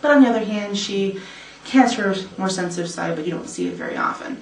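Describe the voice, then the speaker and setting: An animated woman with a mid-pitched voice, chatting casually as a voice actress. The sound is roomy and a little distant. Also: fast talker. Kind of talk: casual chat. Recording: roomy, distant mic, faint noise.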